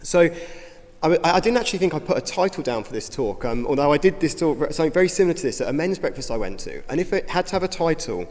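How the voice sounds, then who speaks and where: A man with a slightly hoarse voice, giving an informal, earnest talk as a youth ministry director in a church. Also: fairly high pitch, big pitch swings, quick pace, darkish tone. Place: church hall. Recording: reverberant hall.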